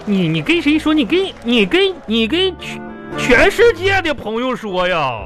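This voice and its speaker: A man playing a fussy, excitable, boastful middle-aged company boss in a comic radio sketch, with a Northeastern Chinese accent and a bossy, repetitive, exasperated way of talking. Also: very high voice, normal speed, faint music behind, full character voice. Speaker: comedy radio drama host and actor.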